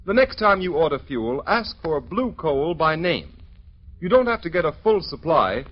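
A man's voice: a little high, sing-song, normal speed. A man announcing and reading an advertisement in the old-time radio manner. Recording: muffled old radio recording.

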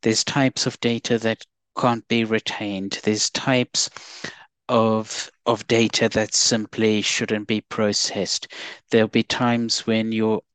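South African male guest expert, measured and methodical, low monotone, dry close mic. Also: smooth, no echo. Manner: measured, restarts words, lists points. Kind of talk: podcast interview.

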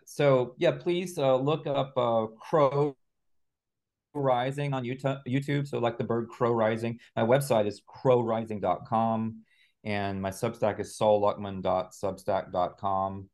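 A man's voice: medium pitch, fairly even intonation, unhurried, darkish tone. A middle-aged man speaking as an interview guest, giving earnest, explanatory answers in a conversational way. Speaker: guest, author and healing practitioner.

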